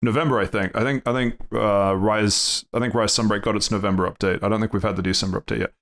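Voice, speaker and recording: A man's voice: fairly low pitch, steady tone, quick pace, fairly bright tone. A man co-hosting a casual podcast, tossing in joking banter. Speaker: podcast co-host. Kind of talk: casual chat.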